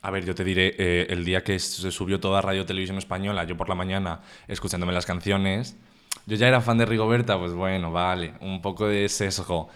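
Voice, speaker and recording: A man's voice: deep voice, fairly even intonation, a little fast, fairly bright tone, clear, resonant tone. A man co-hosting a casual podcast, joking and teasing, swearing freely, recorded in his guests' home. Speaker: podcast co-host. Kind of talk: casual banter.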